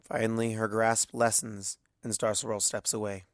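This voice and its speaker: A man's voice: lowish voice, little pitch movement, moderate pace, no echo, clean studio sound. A man reading scripted fiction aloud as a story narrator.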